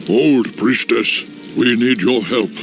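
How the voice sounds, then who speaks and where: Casting: smekende krijger, langzaam en bezorgd. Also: male voice, mid-range voice. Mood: pleading. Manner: slow, pleading. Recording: distant voice over loud music.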